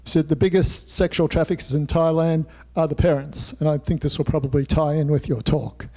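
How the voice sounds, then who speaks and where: A man hosts a club meeting and introduces the guest. His voice is somewhat high, and he jokes and rambles with frequent fillers. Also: fairly even intonation, brisk, darkish tone, low-quality recording. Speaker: club host introducing a speaker. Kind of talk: casual introduction.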